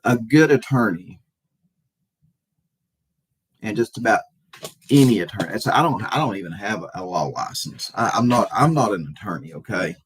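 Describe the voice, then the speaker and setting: A man hosting a casual livestream, talking to viewers in a halting, hesitant way with repeated phrases and a husky voice. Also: fairly low pitch, animated, poor audio.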